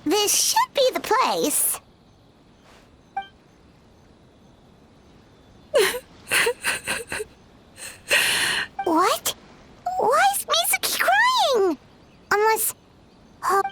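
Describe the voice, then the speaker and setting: A woman voicing a small, childlike, excitable fairy companion in a video game. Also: extremely high pitch, slow, very noisy, exaggerated voice. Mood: curious. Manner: refers to self in third person, exclamatory.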